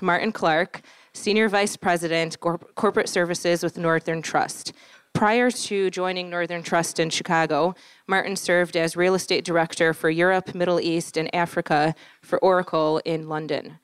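Clear, dry-sounding woman introducing speakers. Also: on the low side, no echo. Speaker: event host introducing speakers. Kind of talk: reading scripted speaker biographies.

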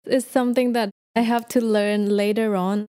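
Woman podcast host, friendly, mellow tone, dry close mic. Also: mid-range voice, fairly even intonation, normal speed, clean tone, no echo. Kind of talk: casual interview chat.

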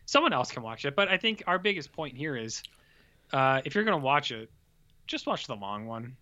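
A man's voice: medium pitch, sing-song, moderate pace, pushed and bright, no echo. A man co-hosting a podcast, joking casually about a film, swearing and acting out quotes. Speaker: podcast co-host. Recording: dull, dry lo-fi mic.

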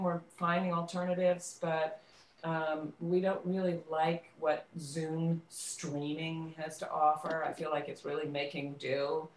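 A woman's voice: deep voice, a little hiss or hum. A woman speaking conversationally as an interview guest who directs and produces theater, stressing points by repeating words. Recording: roomy, echoing sound.

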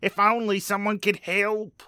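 An earnest, uncool family man played by a man speaks in a spoof radio ad. His voice is fairly high and sharp, with no room sound at all. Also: level tone, measured pace, clean tone, no echo, clean studio sound. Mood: dejected. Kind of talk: spoof radio commercial acting.

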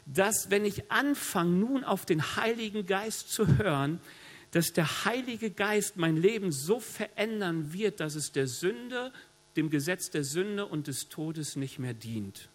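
A middle-aged man with a cold, preaching a sermon in a lively, conversational way with joking asides. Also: high-pitched, slow pace. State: has a cold. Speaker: pastor. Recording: long hall reverb.

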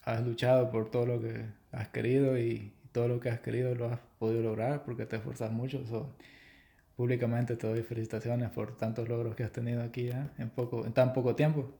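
A man's voice: medium pitch, flat delivery, a little fast, gravelly, mellow. A man hosting a casual podcast chat, teasing his friend in banter as he leads the questions. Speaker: podcast host.

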